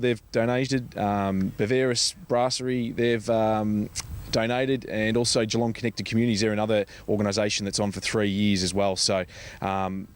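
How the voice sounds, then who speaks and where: Low-voiced Australian man in an interview. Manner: halting, repeats words as he speaks. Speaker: charity foundation representative being interviewed. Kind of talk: conversational interview answers.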